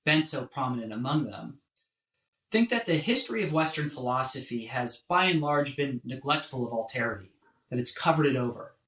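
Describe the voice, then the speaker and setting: A man giving a scripted philosophy lecture in a measured, deliberate way. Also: mid-range voice, fairly bright tone. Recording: roomy echo, distant from mic.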